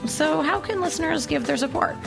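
A woman's voice: high voice, animated, brisk, creaky, over music. A woman performing a scripted, perky promo skit as a radio host. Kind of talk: scripted comedic promo dialogue.

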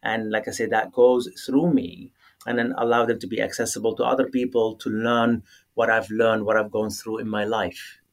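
A middle-aged man from Lebanon with a Lebanese accent and Arabic as his first language, answering interview questions earnestly and haltingly as he searches for words. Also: lowish voice, flat delivery. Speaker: yoga teacher, guest.

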